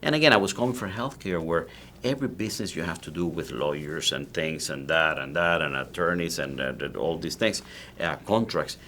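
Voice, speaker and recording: A man with a deep, low Cuban Spanish accent tells his story in a relaxed interview, talking in animated bursts and often restarting his sentences. Spanish is his first language, and he sounds like he is in his forties. A faint ambience sits behind him. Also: brisk, a little hiss or hum. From Cuba. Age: 40s. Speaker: guest, cigar maker. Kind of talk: casual interview.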